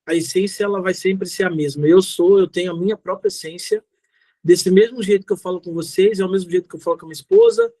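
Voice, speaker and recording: High-voiced Brazilian man, emphatic speaker. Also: sing-song, fast, mellow, no room sound. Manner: emphatic, repeats key phrases, informal and direct. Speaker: guest speaker, social entrepreneur. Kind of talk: motivational talk answering audience questions.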